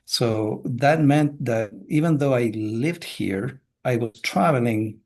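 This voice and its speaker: A middle-aged man from Chile, a guest on a business podcast, talks through his working life at an even, measured pace. He often pauses on "uh" as he gathers his words, and his voice stays at an ordinary mid pitch. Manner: measured, frequent "uh" fillers. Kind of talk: casual podcast interview.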